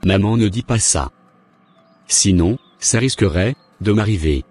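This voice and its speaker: A man voicing a wayward young son in a cartoon, speaking in an earnest, deliberate way. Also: on the low side, expressive, darkish tone, slightly rough, soft background music. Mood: pleading. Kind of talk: scripted cartoon dialogue.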